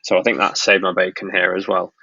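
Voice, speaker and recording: Live-stream host from Lancashire, laid-back and rambling; slightly dull lo-fi sound. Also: male voice, deep voice, fairly quick, gravelly, slightly rough, no room sound. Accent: Northern English (Lancashire). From Blackpool, Lancashire, northwest England. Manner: relaxed, rambling, frequent ums and restarts. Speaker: wedding filmmaker hosting a live Q&A. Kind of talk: casual live-stream Q&A, reading out chat questions.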